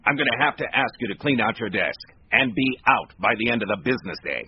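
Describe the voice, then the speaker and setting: An actor playing a stern, unforgiving company boss in a radio drama, scolding with authority. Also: male voice, mid-range voice, expressive, fast talker, close to an everyday voice. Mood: cold, final. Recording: dull lo-fi radio recording, faint noise.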